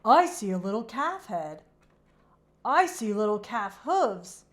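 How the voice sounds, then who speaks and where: A woman's voice: medium pitch, big pitch swings, takes it slowly, a bit bright. A woman voicing a droll, grumbly but lovable male horse in a storybook, complaining emphatically. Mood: excited.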